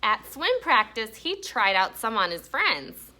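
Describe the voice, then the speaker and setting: A woman's voice: a little high, sing-song, a little slow, bright, forceful tone. A woman teaching an elementary class, asking questions and reading a story aloud to pupils. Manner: asks questions, echoes pupils' answers. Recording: faint room noise.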